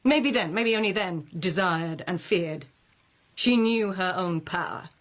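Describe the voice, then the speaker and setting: An actress playing an intelligent, outspoken lady of the court in a radio drama, speaking with deliberate, rhetorical phrasing and pointed irony. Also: fairly low pitch, lightly acted. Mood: impassioned, scornful.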